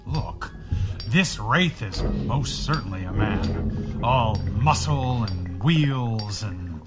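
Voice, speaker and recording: An actor playing a suave, wry and teasing sidekick in a pulp radio drama. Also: male voice, lowish voice, expressive, slow pace, over loud music.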